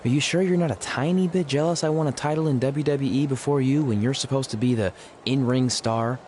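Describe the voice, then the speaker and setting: A man's voice: medium pitch, brisk, a little mellow, close to an everyday voice. A man acting as a cocky, paranoid, goofy wrestling champion, boastful and comically secretive in a scripted drama. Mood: boastful, defensive. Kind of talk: scripted comedic drama dialogue. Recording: faintly noisy location ambience.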